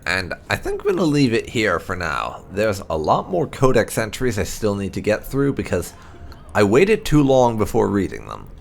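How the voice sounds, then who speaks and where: A man hosting a gaming channel, reading fantasy game lore aloud in a measured storytelling way. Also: lowish voice, huge pitch swings, vocal fry. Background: background music.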